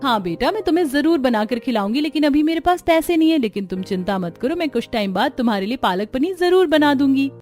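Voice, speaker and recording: A woman's voice: high voice, expressive, fast talker, hardly any pauses, a little mellow, soft background music, pitched above the performer's own voice. A woman playing a tender, worried young mother and wife, speaking earnestly in a cartoon family drama. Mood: reassuring.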